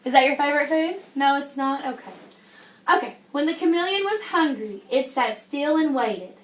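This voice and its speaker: Female primary teacher, high-pitched, interactive read-aloud, encouraging and checking in often, recorded in an echoey room. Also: animated, moderate pace. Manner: encouraging, addresses the class as guys, frequent check-in questions. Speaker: teacher reading a picture book to young children. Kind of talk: interactive classroom read-aloud with questions and praise.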